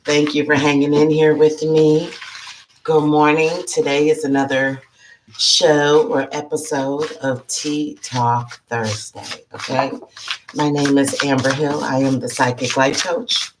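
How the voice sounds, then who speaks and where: A woman's voice: low-pitched, little pitch movement, unhurried, noisy background. A woman hosting a casual live-stream chat as a psychic life coach, her voice froggy from allergies, chatty and greeting viewers by name.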